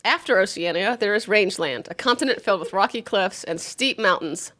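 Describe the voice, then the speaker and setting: A woman's voice: mid-range voice, lively intonation, fairly quick, pushed and bright. A giggly woman in her forties chatting and reading aloud casually as a podcast host, poking fun at herself. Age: 40s. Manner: giggly, self-mocking. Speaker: podcast host, amateur author reading her own story.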